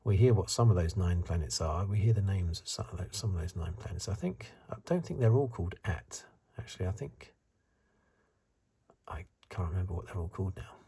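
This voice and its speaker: A man speaking in a soft, breathy, partly whispered ASMR voice, musing hesitantly as he gives a casual review. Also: low-pitched, animated, a little fast. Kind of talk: soft-spoken ASMR commentary.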